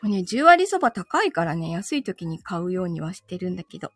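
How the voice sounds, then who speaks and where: A woman's voice: on the low side, big pitch swings, brisk, slightly rough, dry recording, studio quality, faint music behind. A woman hosting a live stream, chatting casually in a playful, giggly way and reading out listener comments. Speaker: live stream host and voice performer.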